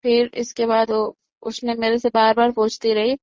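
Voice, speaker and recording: Woman eyewitness, higher-pitched voice, conversational recounting, muffled phone-call audio, dry sound. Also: completely flat delivery, moderate pace, darkish tone, no echo. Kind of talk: casual recounting of events. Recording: dull lo-fi phone call recording.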